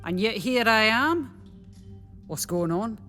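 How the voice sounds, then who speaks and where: A mercenary, no-nonsense midwife in an audio drama. Her voice is rather high and commanding, brisk in manner, set over clear music. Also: expressive, sharp tone, heavily acted. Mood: knowing, wry.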